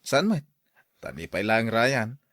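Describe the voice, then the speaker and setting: A man's voice: medium pitch, big pitch swings, slow pace, a bit bright, no echo. Man playing earnest Ilocano son, close dry sound. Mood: reproachful. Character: frank adult son reproaching his aging mother. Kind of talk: Ilocano radio drama acting.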